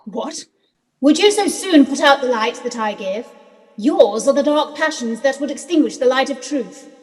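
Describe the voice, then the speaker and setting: A woman playing a calm, firm spirit guide in a radio play, measured and gently reproving. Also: high voice, breathy edge.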